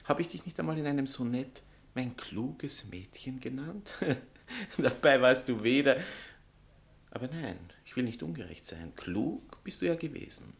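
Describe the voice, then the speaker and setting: A man's voice: medium pitch, expressive, unhurried, gravelly. An actor playing a vain, scornful male writer and spurned lover in a satirical stage comedy, pompous and declamatory. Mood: sneering, scornful. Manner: pompous, theatrical, declamatory, swinging from outbursts to sneers. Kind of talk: theatrical satirical comedy acting.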